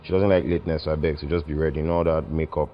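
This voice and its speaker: A man's voice: rumbling low, flat delivery, racing, voice pushed down. A man playing a laid-back, bossy young boyfriend on a phone call. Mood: casual. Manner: bossy, reassuring.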